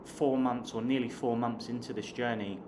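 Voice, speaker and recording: A man's voice: medium pitch, steady tone, normal speed. A man in his late thirties from the south of England, with a southern English accent, chatting casually to viewers as a vlogger. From Hampshire, south of England. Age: late 30s. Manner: chatty, addresses viewers as guys. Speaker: online English teacher and vlogger. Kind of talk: casual talk to camera. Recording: clear background noise.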